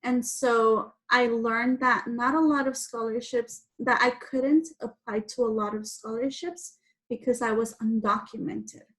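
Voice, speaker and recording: Woman from guatemala, spanish is her first language, kinda high voice, telling kids her own story in simple words. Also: steady tone, a little slow, fairly bright tone. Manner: simple explanatory phrasing for young listeners. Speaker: guest speaker telling personal story to schoolchildren. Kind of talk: personal storytelling talk for children.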